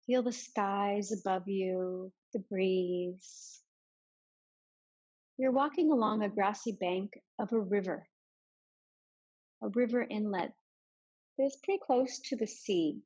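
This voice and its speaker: A woman leading a guided meditation as a yoga teacher, giving soft instructions with many pauses. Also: mid-range voice, lo-fi sound.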